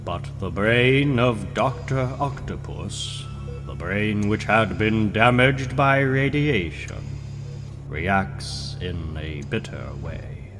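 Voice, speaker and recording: A man's voice: lowish voice, expressive, slow pace. A man giving a dramatic, portentous narration of a comic book story. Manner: theatrical, portentous. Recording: loud music under the voice. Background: music.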